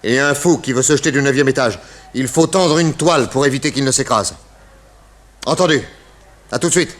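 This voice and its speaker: A man's voice: medium pitch, fairly quick, a bit bright, close to an everyday voice. A man playing a shrewd, authoritative detective with clipped, assured delivery in a French radio drama. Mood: urgent.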